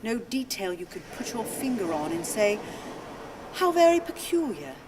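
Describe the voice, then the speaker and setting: A weary, detached wife played by an actress in a radio drama, speaking in cool, measured phrases. She sounds set back from the mic, with clear noise around her. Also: very animated, natural voice. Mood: reflective. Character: weary, cool, matter-of-fact wife of a troubled man. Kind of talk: radio play acting. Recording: distant from the mic, noisy ambient rumble.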